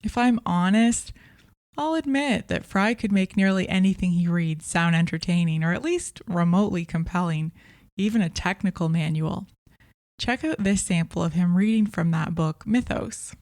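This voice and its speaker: A woman's voice: on the low side, moderate pace, a little mellow, no echo, studio quality. A woman hosting a review podcast, speaking in a scripted narration style. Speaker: podcast host and audiobook reviewer.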